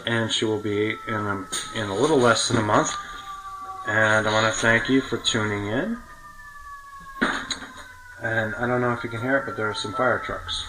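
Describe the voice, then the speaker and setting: A man's voice: lowish voice, steady tone, moderate pace, pauses often, background noise. A man hosting a casual podcast interview, asking questions in an eager, insistent way. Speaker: podcast host.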